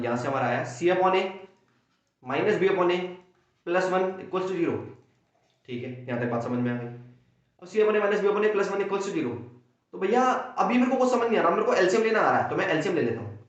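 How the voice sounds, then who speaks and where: A young man from India teaching a maths exam-prep lesson with a sore throat, energetic and playing both himself and his students. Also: high-pitched, moderate pace, pauses often. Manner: energetic, acts out students' questions and answers himself. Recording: echoey room, dull lo-fi sound.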